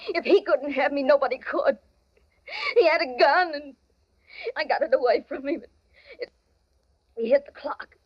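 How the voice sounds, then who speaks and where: A woman's voice: high-pitched, normal speed, pauses often, close to an everyday voice. An actress playing an anguished woman in love in a 1940s radio melodrama, pleading and emotional. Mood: anguished confessing. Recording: dull old radio recording.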